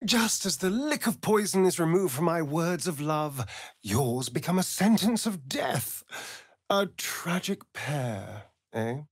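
An actor playing a sly, vain vampire rogue in a video game, with a theatrical, arch, drawling delivery. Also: male voice, a little high, lively intonation, slow, husky, no echo, studio quality, heavily acted. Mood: arch, wry.

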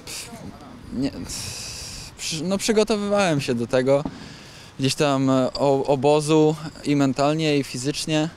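A young footballer giving a post-match interview outdoors on a pitch at night, talking hesitantly with restarts and fillers. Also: male voice, a little slow, darkish tone, slight background noise. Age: young adult. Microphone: handheld interview mic.

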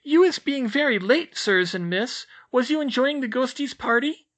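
A man voicing a timid little female house-elf who squeaks and speaks in broken grammar. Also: lively intonation, moderate pace, a bit bright. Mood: eager, anxious.

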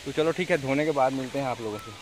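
A man's voice: mid-range voice, fast, low-quality recording. A young man with a Chhattisgarhi accent chatting casually to camera as a village vlogger, recorded outdoors on a phone at arm's length. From India. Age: young adult. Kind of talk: casual vlog chat to camera. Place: outdoors in a rural village area.

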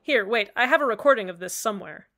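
Bright-voiced woman radio host. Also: on the high side, expressive, quick pace. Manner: cheerfully matter-of-fact. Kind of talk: scripted fan-fiction radio narration.

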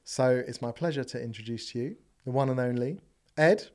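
A young man hosting a podcast, chatting casually and jokily into a boom mic. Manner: upbeat, jokey, quick asides. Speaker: podcast host. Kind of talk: casual interview chat. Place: podcast studio with brick wall. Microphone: boom mic on arm.

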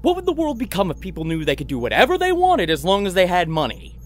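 A man's voice: high-pitched, wildly swooping pitch, fast talker, a little hiss or hum. An actor playing an indignant, panicky man with a melodramatic, exclamatory delivery in a comedy audio drama. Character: indignant, panicky man, a righteous customer prone to screaming.

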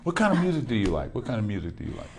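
A man's voice: lowish voice, wildly swooping pitch, rapid-fire, creaky, a crowd in the background. A middle-aged man from Los Angeles, a pastor hosting a TV studio interview, talking in a rambling way with lots of repeated words. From Los Angeles, California. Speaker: pastor and talk show host. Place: TV studio with backdrop.

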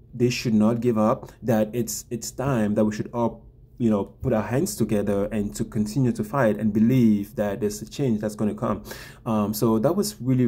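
A man's voice: lowish voice, mellow, slightly rough. A man in his thirties from Cameroon, hosting his own vlog and sharing political views to camera, with many ums and repeated words, recorded on a laptop camera in a small room. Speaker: vlog host. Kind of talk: casual political commentary to camera.

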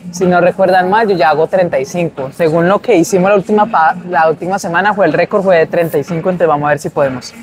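A man's voice: high voice, quick pace, few pauses. A young man, chatting upbeat and casual to camera as a fitness vlogger between sets in a gym. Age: young adult. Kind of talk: casual vlog chat to camera. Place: large indoor gym, then a shopping mall walkway.

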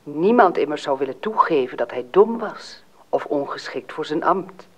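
A man narrating a fairy tale as a dramatic storyteller, with a husky voice. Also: on the high side, animated, normal speed, fairly bright tone.

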